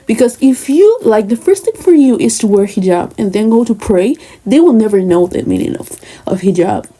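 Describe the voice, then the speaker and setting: Young woman, higher voice, earnest, conversational, personal talk to camera. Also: animated, normal speed, mellow, breathy edge, rough recording. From Spain, Moroccan family. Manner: earnest, conversational, many fillers and asides. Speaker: vlogger.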